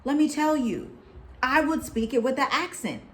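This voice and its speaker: An American woman co-hosting a casual chat, talking in a lively, preachy way with scripture references. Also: sits high, normal speed. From United States. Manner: animated, preachy, quotes people in put-on voices. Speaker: co-host.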